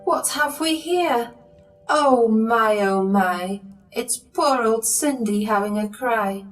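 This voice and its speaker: A woman's voice: a little high, slow. A woman playing a kindly, motherly fairy godmother who tells a pantomime story directly to children. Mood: kindly, reassuring. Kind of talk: pantomime narration and acting. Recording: long hall reverb, distant, over music.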